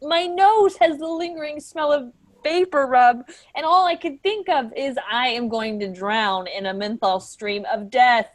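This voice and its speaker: A woman's voice: sits high, measured pace, dry recording, studio quality. A woman playing a caustic, melodramatic, indignant office worker in a staged play reading.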